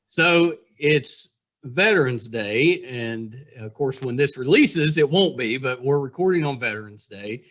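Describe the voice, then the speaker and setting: A man's voice: mid-range voice, lively intonation, a little slow, fairly bright tone. A man hosting a casual interview podcast, speaking in an effusive, admiring way to his guest.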